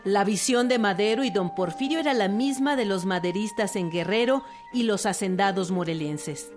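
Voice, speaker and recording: A Mexican woman narrates a historical radio production from a script. Her voice is mid-pitched. Music with fiddle plays clearly behind her. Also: moderate pace. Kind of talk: scripted documentary drama narration.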